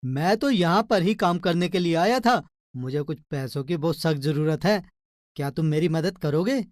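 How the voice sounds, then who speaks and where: An actor playing a polite, needy labourer in a cartoon story, flattering and pleading with his friend. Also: medium pitch, normal speed, no room sound, clean studio sound, natural voice. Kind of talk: children's cartoon story dialogue.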